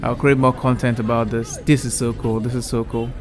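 A man's voice: medium pitch, fairly quick, noisy background. A young man hosting his own channel, giving a casual, enthusiastic walkthrough of a VR art gallery he built, recorded on earbuds indoors. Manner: relaxed, enthusiastic, repeats "really cool". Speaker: YouTube creator. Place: indoor room, wearing VR headset. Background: soft background music.

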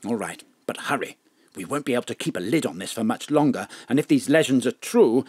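An actor playing a furtive, fussy top civil servant, conspiratorial and animated in a comic audio drama. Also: male voice, mid-range voice, a little fast, slightly rough, dry recording, studio quality. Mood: urgent, excitable.

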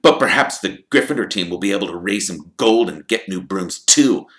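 A man reading an audiobook, voicing a spoiled, cruel teenage boy who talks in a smug, smooth, sneering way. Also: deep voice, lively intonation, moderate pace, fairly bright tone.

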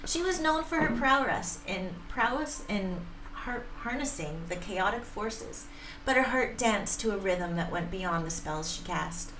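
A middle-aged woman reads a romance story aloud at a careful pace, now and then tripping on a word. The room echoes around her medium-pitched voice, with faint noise behind. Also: lively intonation, unhurried. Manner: careful reading with stumbles. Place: home room, indoors, at a desk. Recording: roomy sound, faint background noise.

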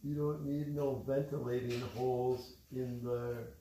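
Man, middle-aged, medium pitch, casual fatherly story time, echoey living room, distant microphone. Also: level tone, unhurried, warm tone, a little hiss or hum. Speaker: father reading along with his child. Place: living room by a fireplace, indoors. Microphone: camera a few metres away.

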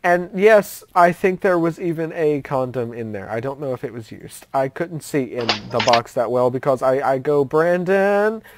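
A woman's voice: extremely low pitch, expressive, moderate pace, few pauses. A young woman hosting a casual voice-chat story session, telling a story with many fillers and restarts. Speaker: host of a story-time voice chat. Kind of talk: casual storytelling over voice chat while gaming.

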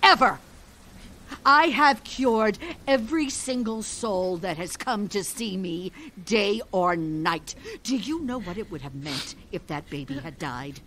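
A woman's voice: mid-range voice, animated, a little slow, a bit bright, slight background noise. An actress playing a proud, imperious middle-aged village healer and stern mother in an audio drama. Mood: indignant, proud. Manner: imperious, emphatic.

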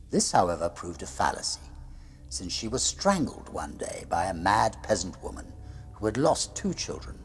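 Male storyteller, middle-aged, gothic horror narration, deep pitch, animated intonation, grave and deliberate, music behind. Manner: grave, deliberate, hushed at phrase ends. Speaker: storyteller reading a classic ghost story aloud. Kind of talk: dramatic gothic horror narration, read as a diary. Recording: music under the voice.

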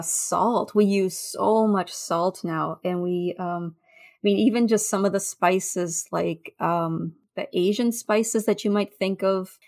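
Woman telling stories in halting conversation. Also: mid-range voice, a little mellow. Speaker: interview guest, food history researcher. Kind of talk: conversational podcast interview.

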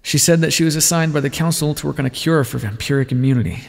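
A man playing a bitter, wry vampire and former rebel leader, confiding his past in an ASMR roleplay with a gravelly, husky voice. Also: fairly high pitch, quick pace. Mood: wry, measured. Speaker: audio roleplay performer. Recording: long reverberant echo.

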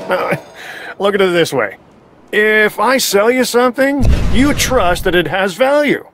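An actor playing a slick, eager dealer in a video game, glib and full of stammering sales patter. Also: male voice, high-pitched, fairly bright tone, slight background noise.

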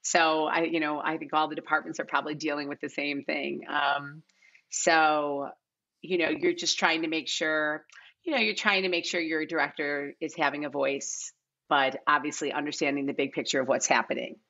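Low-pitched American woman, slightly muffled. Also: steady tone, moderate pace, creaky, bright, forceful tone. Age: middle-aged. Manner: rambling, peppered with "like" and "you know". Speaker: casting director, podcast guest. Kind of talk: casual, unscripted interview chat. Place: bright living room at home. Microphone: video call, wearing headphones. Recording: slightly dull, lo-fi video-call audio.

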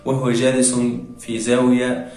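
A young man presenting a scripted explainer to viewers in formal, measured phrasing. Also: medium pitch, completely flat delivery, a little mellow, faint music behind. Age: young adult. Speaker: video presenter. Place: small tiled room, indoors. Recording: echoey room sound.

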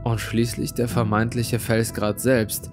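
A man narrates a horror tale. His voice sits at a medium pitch, and he tells the story in a suspenseful, dramatic way. Music plays behind him. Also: flat delivery, a little slow. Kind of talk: dramatic scripted creepypasta narration. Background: background music.

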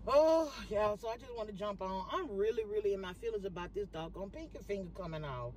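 A woman talks to her followers from the driver's seat of her parked car, recording on a phone held up in front of her. She is chatty and animated, with a voice sitting at a middle pitch for a woman. Faint traffic hums outside the car. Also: brisk. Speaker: vlogger. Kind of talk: casual vlog chat to viewers.

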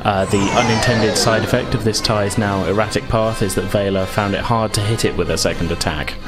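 A man with a low voice acts as game master at a tabletop game. He alternates between rules talk and narrated space combat, with music clearly playing underneath. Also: steady tone, brisk.

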